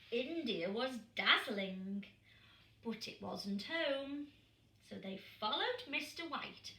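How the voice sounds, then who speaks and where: Primary teacher, woman, storytime read-aloud; slow, lively delivery, echoey room. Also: sits high, a little hiss or hum. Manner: slow, expressive, asking the children questions. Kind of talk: reading a picture book aloud to young children. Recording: echoey room, distant mic.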